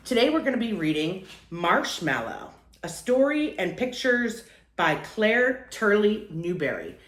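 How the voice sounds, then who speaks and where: A woman's voice: medium pitch, very animated, unhurried, echoey room. A woman reading a children's picture book aloud as a storyteller, acting out phrases and lingering on key words.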